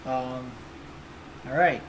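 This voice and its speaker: A man in his twenties chatting excitably as he plays and talks over a racing game on a livestream. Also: mid-range voice, background noise. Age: 20s. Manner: chatty, excitable, quick reactions. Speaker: livestream host. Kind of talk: casual live gameplay commentary.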